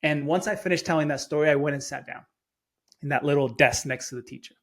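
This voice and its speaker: A middle-aged man who grew up in Chile and spoke Spanish first tells a personal story as a podcast guest. His voice sits a little high for a man. He talks earnestly and often repeats a word or two before a sentence gets going. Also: quick pace, gravelly. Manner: earnest, repeats words when starting phrases. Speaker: guest speaker. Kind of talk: storytelling in an interview.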